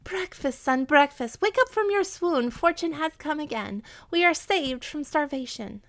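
A woman's voice: sits high, animated, measured pace, no room sound, studio quality, pitched above the performer's own voice. A woman doing an ecstatic old widow. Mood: overjoyed. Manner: exclaiming wildly. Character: overjoyed poor old widow.

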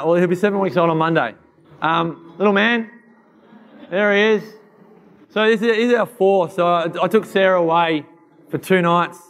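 A hoarse man in his thirties giving a fast, animated motivational talk to an audience. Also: on the high side. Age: 30s. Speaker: keynote speaker.

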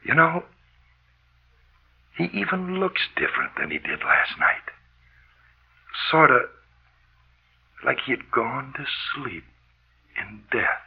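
An actor playing a haunted, obsessed morgue worker, brooding and intense in halting 1940s radio drama style. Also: male voice, mid-range voice, huge pitch swings, gravelly, slightly rough, full character voice. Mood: haunted, hushed. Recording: dull lo-fi old radio recording.

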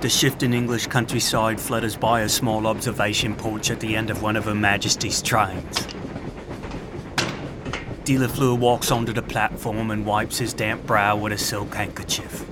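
A man's voice: lowish voice, level tone, normal speed, background noise. A man narrating a scripted audio drama in a theatrical, florid storytelling manner. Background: music.

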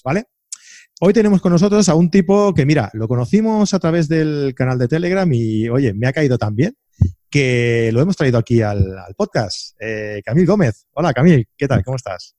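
A man hosts a podcast in a mid-pitched voice, joking and laughing between hesitant fillers. The recording is dry and close. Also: darkish tone, no echo. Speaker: podcast host. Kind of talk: casual interview chat.

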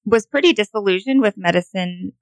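A woman with a Montana accent, a guest homeopath, explaining in a steady way with a few fillers. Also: mid-range voice, fairly quick, dry recording, low-quality recording. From Montana, USA. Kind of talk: explanatory interview answers.

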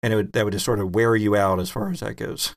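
A man's voice: fairly low pitch, fairly even intonation, fast, dry recording, studio quality. A man hosting a tabletop game as game master, explaining rules and narrating scenes conversationally with joking asides.

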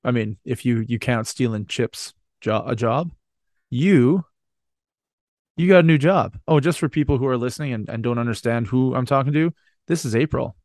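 A man's voice: mid-range voice, normal speed, a little mellow, dry recording, studio quality. A man hosting a podcast in casual chat, rambling and joking, with a casual swear now and then. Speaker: podcast host.

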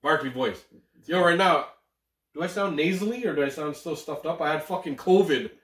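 A man's voice: a little high, fairly quick, fairly bright tone, reverberant, recorded from a distance. A man, co-hosting a casual podcast, rambling and slangy and laughing easily, sounding slightly stuffed up. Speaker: podcast co-host. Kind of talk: casual chat.

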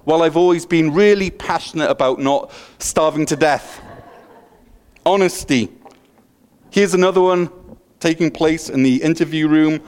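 A man's voice: on the high side, moderate pace, pauses often, a little mellow, clean tone. A middle-aged man from Wales with a Welsh accent, preaching a sermon in a joking, self-deprecating way, with a slight stutter and frequent restarts. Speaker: pastor.

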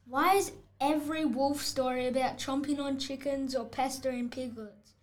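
A schoolboy of about eleven voicing a vain, indignant storybook wolf who protests and cuts in, in a scripted audiobook style. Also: male voice, very high voice, fairly even intonation, normal speed. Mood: exasperated. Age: child, about 11 to 12. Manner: protesting, exclamatory, interrupting the narrator. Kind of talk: scripted picture-book audiobook acting.

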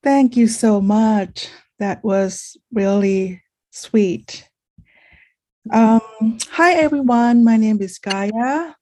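A middle-aged woman from Thailand sharing a personal testimony at a support meeting in a halting, reflective way. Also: fairly high pitch, dark tone.

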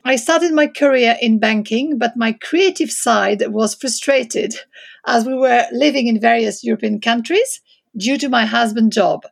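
A woman's voice: fairly high pitch, unhurried, vocal fry. A middle-aged woman from France with a French accent, answering interview questions hesitantly and searching for English words. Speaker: interior designer guest.

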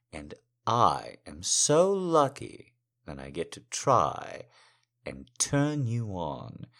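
A man playing a silver-tongued, adoring lover in an intimate erotic audio roleplay, teasing and gently commanding in drawn-out, breathy phrases. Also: rumbling low, exaggerated voice. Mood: adoring, seductive. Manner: flowery, seductive, drawn-out phrasing with breathy whispers.

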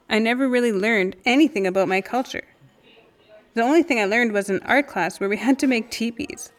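A woman's voice: fairly high pitch, natural voice. A woman acting in an audio drama as a candid, self-mocking friend chatting casually. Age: adult. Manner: casual, wry, frank. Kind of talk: naturalistic audio drama dialogue.

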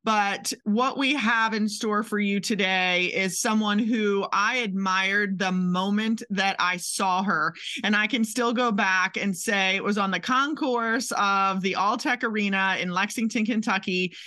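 A woman's voice: mid-range voice, bright, forceful tone. A woman from Maryland hosting a podcast interview, chatty and enthusiastic. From Maryland, USA. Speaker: podcast host.

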